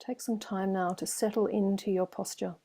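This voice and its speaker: Woman, meditation teacher, mellow relaxed tone, even pitch, gentle soothing delivery, long pauses. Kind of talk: guided meditation.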